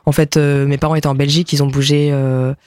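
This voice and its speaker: Musician guest, man whose first language is Japanese, hesitant, slightly high voice, dry sound. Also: little pitch movement, quick pace, a little mellow, clean tone, no echo. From France, near Nantes. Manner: hesitant, many fillers and restarts. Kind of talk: interview answers.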